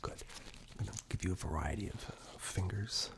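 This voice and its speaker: A man with an extremely low, crackling voice performs ASMR roleplay. He drifts between a murmur and a breathy whisper, close to the microphone. His delivery is unhurried and reassuring, as if looking after the listener one to one. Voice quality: breathy whisper mixed with very deep, gravelly murmur. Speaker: ASMR performer playing caregiver and eye examiner roles. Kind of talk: soft-spoken ASMR roleplay, half whispered. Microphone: close binaural microphone.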